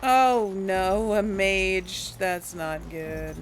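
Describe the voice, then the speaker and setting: A woman casually commenting on her gameplay, thinking aloud with hesitant pauses and small chuckles. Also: mid-range voice, slight background noise, rough recording. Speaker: let's play gamer.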